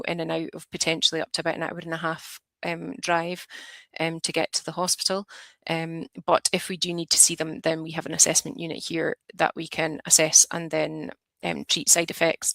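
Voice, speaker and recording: A woman's voice: sits low, creaky, a bit bright, no echo. A Scottish woman in her thirties, a nurse practitioner, giving a plain, explanatory online teaching talk over a video call. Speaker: advanced nurse practitioner, guest speaker.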